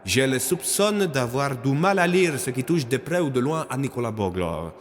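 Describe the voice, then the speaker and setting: A man's voice: mid-range voice, lively intonation, normal speed, long reverb tail, lightly acted. A man voicing a chatty, familiar man who explains mysteries with some exasperation in an audio story. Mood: explaining.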